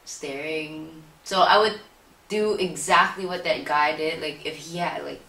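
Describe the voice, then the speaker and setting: A woman from Singapore, a guest in a casual interview, telling stories in a lively, playful way. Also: low-pitched, unhurried, creaky. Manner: lively, playful, animated storytelling. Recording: roomy echo, mic at a distance, faint background hiss.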